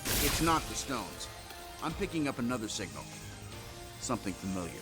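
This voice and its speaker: Wisecracking, animated superhero inventor. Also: male voice, fairly low pitch, loud music behind the voice. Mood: wary. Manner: glib, wry, quick-witted. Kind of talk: video game cutscene acting.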